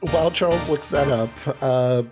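A man's voice: mid-range voice, normal speed, vocal fry. Casual man hosting, music behind him. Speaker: podcast host and game master. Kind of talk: casual intermission announcement and game narration.

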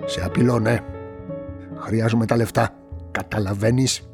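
An actor playing a desperate, menacing brother in debt who turns killer, in a radio drama, husky and forceful. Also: male voice, on the low side, level tone, music in the background. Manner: pressing, volatile, forceful. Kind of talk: radio drama acting with confessional narration.

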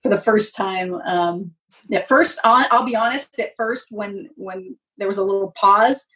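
A woman's voice: mid-range voice, a little slow, a bit bright. Woman guest, slightly distant from the mic. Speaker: interview guest, sports public address announcer. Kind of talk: casual interview chat.

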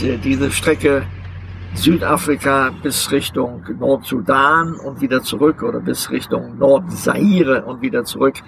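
Gravelly older man, hesitant storyteller. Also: medium pitch, background noise. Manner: deliberate, hesitant, many filler sounds. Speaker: interviewee, long-term overland traveler. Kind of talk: outdoor interview. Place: outdoors beside a vehicle museum.

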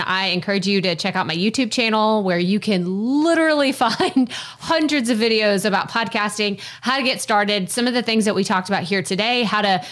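Texan woman, podcast guest, loud and folksy, average pitch. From Texas. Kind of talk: casual interview chat.

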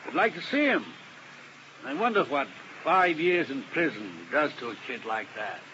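An actor as a rueful old defense lawyer. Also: male voice, on the high side, expressive, moderate pace, background noise, close to an everyday voice. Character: male defense lawyer, fussy with ulcers. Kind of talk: 1950s radio drama acting. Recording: muffled old radio recording.

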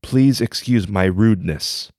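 A man's voice: on the low side, measured pace, a little mellow, no echo, clean studio sound, voice pushed down. A man voicing an arrogant, proud male river dragon king with a haughty, indignant manner. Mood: pleading.

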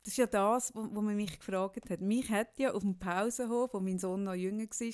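A middle-aged woman, chatting casually as a podcast co-host in a teasing, animated storytelling manner. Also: mid-range voice, brisk. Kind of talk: casual chat with a friend.

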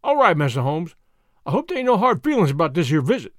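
Man doing a big, brash thug: pitched up, loud, swings wildly in tone, rough slang, dry close sound. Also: very animated, fast, no echo. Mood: cowering. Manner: blustering threats in rough ungrammatical slang. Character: hulking blustering male bruiser, menacing and crude.

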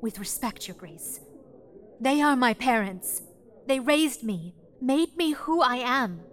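A woman's voice: fairly high pitch, lively intonation, slow, fairly bright tone. An actress playing an earnest, determined young woman in a fantasy audio drama, speaking in a precise, formal, insistent way.

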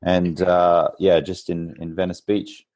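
A low-voiced Australian man in his forties talks as an interview guest. He is chatty and informal, telling personal stories in a conversational way. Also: level tone, unhurried, a little mellow. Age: 40s. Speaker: podcast guest, entrepreneur. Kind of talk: casual interview storytelling.

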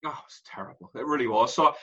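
A man in his forties with an Irish accent, a guest telling his story in an animated way and laughing through it. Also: mid-range voice, fast talker, husky, lo-fi sound. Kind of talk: candid interview storytelling.

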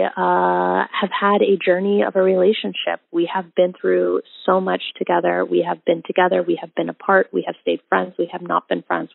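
A woman in her thirties from Indonesia, telling her love story in a casual, reflective way with many ums and pauses. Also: lowish voice, vocal fry, dry recording. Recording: tinny phone-like audio.

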